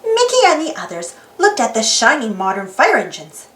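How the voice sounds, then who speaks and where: High, animated woman reading a storybook. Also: moderate pace. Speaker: storybook reader. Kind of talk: animated read-aloud children's narration. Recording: roomy, slightly distant mic.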